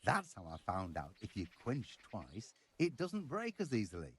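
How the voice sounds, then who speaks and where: A man's voice: lowish voice, huge pitch swings, moderate pace, husky, heavily acted. A man acting a proud, earthy village blacksmith in a medieval video game, blunt and folksy in his speech. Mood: frank, reflective. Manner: blunt, sweary, folksy turns of phrase.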